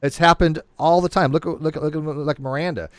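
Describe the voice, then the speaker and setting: A man's voice: a little high, fairly even intonation, brisk, no echo. A man in his late forties hosting a casual livestream chat, rambling with many restarts. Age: 40s. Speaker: host.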